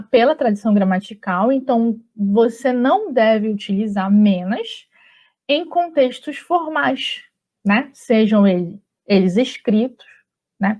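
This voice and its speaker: A woman with a Brazilian Portuguese accent teaching a grammar lesson in a relaxed, chatty way, often checking in with her students. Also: fairly high pitch. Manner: relaxed and chatty, with frequent tag questions. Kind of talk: conversational online grammar lesson. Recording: slightly dull lo-fi audio.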